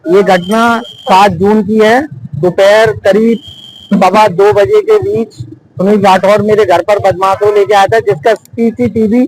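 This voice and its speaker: A man slowly recounting a complaint to a reporter, pausing with fillers. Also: high-pitched, fairly even intonation, slight background noise, poor audio. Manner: slow, deliberate, with pauses and fillers.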